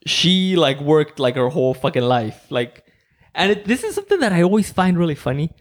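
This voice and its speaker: A man from Mexico hosting a podcast, chatting casually and rambling with many "like"s and animated quoted voices. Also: a little high, fairly quick. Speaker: podcast host. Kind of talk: casual chat.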